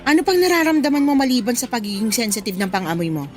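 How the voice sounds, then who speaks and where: A no-nonsense older workmate in a radio drama. Her high voice is frank and chiding, like an elder sister who questions everything. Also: very animated, fast, over music. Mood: concerned, probing. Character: blunt, scolding older female coworker, a mother herself. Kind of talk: Tagalog radio drama acting.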